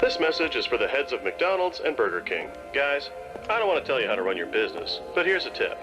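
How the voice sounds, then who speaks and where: An actor playing a cheeky male fast-food mascot, speaking with a smug, playful delivery in a scripted commercial. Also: medium pitch, big pitch swings, natural voice, rough recording. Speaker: ad spokesman. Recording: commercial with loud music bed. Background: loud music.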